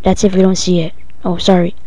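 A female-sounding speaker giving a casual, halting, improvised step-by-step software tutorial. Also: mid-range voice, monotone, no echo. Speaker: tutorial presenter. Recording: dull lo-fi home recording.

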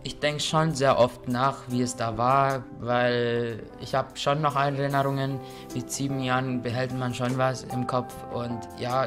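A teenage interviewee giving simple, hesitant answers. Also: medium pitch.

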